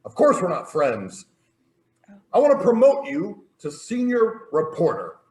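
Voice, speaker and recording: An excitable, blunt male newspaper editor, played by a man in a stage farce. His theatrical voice is extremely high and animated, ringing in an echoey room. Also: measured pace, full character voice.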